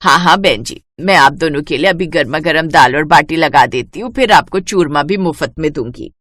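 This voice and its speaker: A woman's voice: deep voice, normal speed, fairly bright tone, dry recording, clean studio sound, pitched below the performer's own voice. Woman voicing an eager old mother-in-law street hawker. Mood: obliging. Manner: sing-song street vendor calls. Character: old village mother-in-law, kindly, eager food-cart seller.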